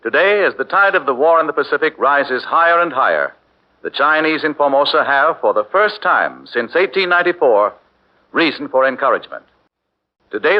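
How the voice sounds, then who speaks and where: A man narrating a 1940s radio documentary drama in a measured, dramatic way. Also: a little high, sing-song. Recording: dull 1940s radio with music.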